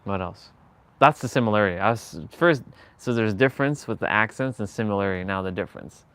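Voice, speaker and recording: A man's voice: on the low side, fairly quick, fairly bright tone, smooth, no room sound. A Canadian man hosting a live stream and chatting casually, rambling and searching for words, with frequent laughs. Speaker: livestream host.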